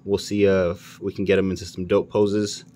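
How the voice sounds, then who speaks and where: A man's voice: low voice, little pitch movement, normal speed, dark tone, a little hiss or hum. A man hosting a casual toy review, speaking informally and enthusiastically with plenty of slang.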